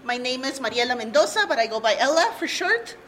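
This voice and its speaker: A young woman from Peru presents a workshop, telling her own story in a candid, earnest way and letting out light nervous laughs. Her voice sits a little high. She speaks to an audience in a room without a handheld mic, with a faint hum behind her. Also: very animated, fast talker, fairly bright tone. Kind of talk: personal testimony at a workshop. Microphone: no handheld mic, room recorder.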